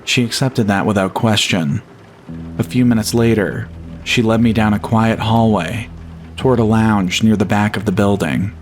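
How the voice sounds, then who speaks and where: Male horror podcast narrator, lower-pitched, measured and solemn, reading scripted first-person fiction over faint music. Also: steady tone. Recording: music bed behind the voice. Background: atmospheric music.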